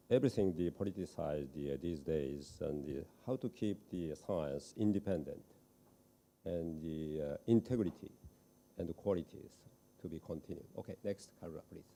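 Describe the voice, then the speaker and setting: Very dark-voiced, halting male moderator. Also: sits low. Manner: halting, fragmented English. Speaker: session moderator. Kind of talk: unscripted chairing of a panel.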